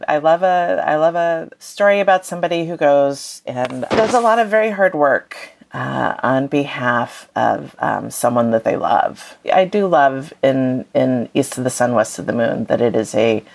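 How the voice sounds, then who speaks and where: A woman's voice: deep voice, gravelly, a little mellow. A woman speaking as a guest author in a thoughtful interview, hesitantly searching for her words.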